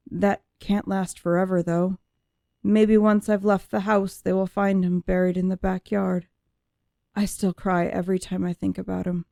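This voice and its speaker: A woman voicing an anguished young female android who is searching for herself, in emotive, deliberate first-person audiobook narration. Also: medium pitch, creaky, mellow, no room sound, studio quality, natural voice.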